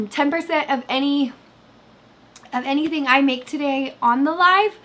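A woman in her thirties, slightly hoarse, chatting casually with viewers on a livestream from her bed, with lots of fillers. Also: high-pitched, a bit bright. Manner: chatty, reads chat aloud, many fillers. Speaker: livestream host. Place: bedroom, sitting in bed. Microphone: webcam framing.

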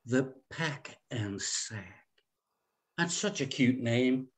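A man's voice: mid-range voice, animated. Man playing snobbish, falsely sweet society lady. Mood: smugly superior. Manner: condescending, drawn-out genteel delivery. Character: snobbish, fussy fifty-year-old small-town society woman, falsely sweet. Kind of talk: script table read. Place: indoor room at home, on a video call.

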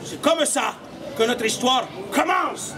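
A man's voice: very high voice, lively intonation, takes it slowly. A man, telling comic stories theatrically and mock-seriously as a street puppeteer. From Canada. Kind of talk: comic storytelling to a live audience. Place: outdoor cobbled street by a stone wall. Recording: outdoor live show, noisy crowd.

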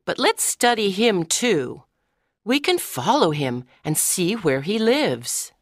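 Animated, excitable teenage schoolgirl voiced by a woman. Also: medium pitch, slow pace, dry recording, clean studio sound. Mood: excited, suspicious. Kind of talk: audiobook dialogue.

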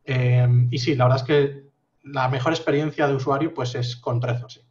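A man in his thirties explaining his project on a video call from a home office, measured and full of fillers. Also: mid-range voice, steady tone, darkish tone, lo-fi sound. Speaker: guest project founder. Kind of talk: casual video call interview.

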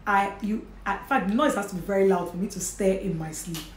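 A Nigerian woman in her thirties talks straight to camera from a home office in a chatty, earnest manner. Her voice sits at an ordinary pitch and echoes off the room, with a faint hiss underneath. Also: a little fast. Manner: chatty and earnest, with light laughs. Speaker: vlogger, mother. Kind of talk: casual talk to camera. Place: indoor home office with bookshelf and curtains. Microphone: camera in front at desk distance.

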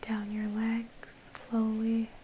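A young woman gives an ASMR guided relaxation in a soft, breathy whisper. Her delivery is very even and unhurried, with a dark, mellow tone. She sounds soothing throughout. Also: a little high, a little hiss or hum. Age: young adult. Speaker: ASMR reiki practitioner. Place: dim indoor room.

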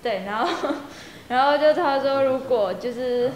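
A woman working as interpreter, replying hesitantly in casual chat. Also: sits high. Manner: slow, hesitant, short replies. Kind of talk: casual chat, switching between English and Chinese. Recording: echoey room with faint noise.

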